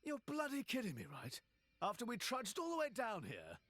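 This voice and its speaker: Excitable, wildly swooping young man. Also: very high voice, moderate pace, dry recording, exaggerated voice. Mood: dismayed. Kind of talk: video game cutscene acting.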